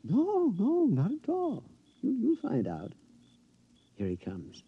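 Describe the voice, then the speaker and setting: An actor playing a middle-aged henpecked husband, excitable and stammering with giggles, in a 1950s radio drama. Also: male voice, very high-pitched, takes it slowly, highs cut off, noisy background, exaggerated voice. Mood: pleased.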